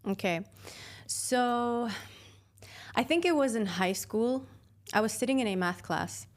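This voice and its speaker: A woman in her twenties from Sweden, a podcast guest talking casually and thoughtfully about her path, with fillers and soft laughs. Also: a little high, lively intonation, measured pace. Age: 20s. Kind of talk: casual interview chat.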